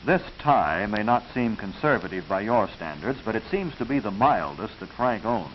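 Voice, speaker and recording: An American man reading scripted narration for a 1940s educational film, instructive and proper, with measured enunciation. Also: fairly low pitch, expressive. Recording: hissy, muffled 1940s film soundtrack.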